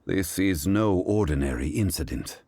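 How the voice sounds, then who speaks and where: A man voicing a calm, erudite, dignified gentleman in a video game, speaking in a measured, formal, composed way. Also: deep voice, husky, no room sound, exaggerated voice. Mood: grave, composed.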